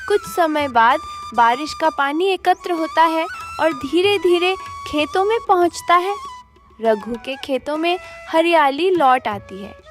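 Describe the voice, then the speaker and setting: A woman narrates a cartoon moral story in a high voice. She takes a slow, storytelling pace, with faint music underneath.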